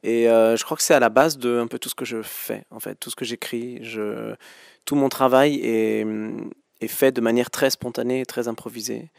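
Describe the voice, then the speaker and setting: A man's voice: mid-range voice, fairly even intonation, normal speed, creaky, no echo, studio quality. A man in his thirties giving thoughtful interview answers as a guest musician, hesitating often with fillers and restarts.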